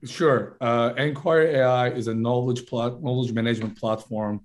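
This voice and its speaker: Man pitching his company. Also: fairly even intonation. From Turkey. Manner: fluent, pitch-like, with quick self-corrections. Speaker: startup founder guest. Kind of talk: business pitch in a podcast interview.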